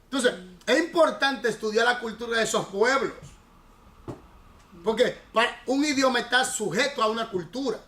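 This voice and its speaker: A male pastor teaching a Bible class, his voice pitched high for a man and ringing bright and sharp. He explains at length and stops to quiz his students. The sound bounces around an echoey church room. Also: moderate pace.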